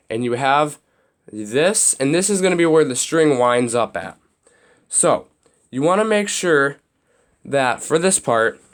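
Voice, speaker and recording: Young male hobby host, a bit raspy, rambling how-to walkthrough. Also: on the high side. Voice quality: slightly raspy. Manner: loose, rambling explanations with many restarts and fillers. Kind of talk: casual how-to demonstration.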